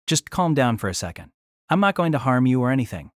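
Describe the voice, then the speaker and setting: A male actor plays an exasperated young man with a medium-pitched, very lively voice. He narrates his own story in wry, wordy asides and acted dialogue, recorded dry and close. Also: fast, dry recording, close to an everyday voice. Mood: placating, wry.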